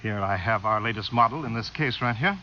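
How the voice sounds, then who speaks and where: An actor in a 1950s radio drama playing an ingratiating, pompous munitions executive with a formal, wordy manner. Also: male voice, lowish voice, brisk, pushed and bright. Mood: salesmanlike. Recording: muffled, hissy vintage radio recording.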